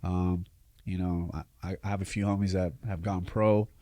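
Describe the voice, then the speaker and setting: A man's voice: low-pitched, flat delivery, normal speed, warm tone, no echo. A man from North Hollywood, a rapper guest on a podcast, telling stories in laid-back slang. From North Hollywood, California. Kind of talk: casual podcast chat.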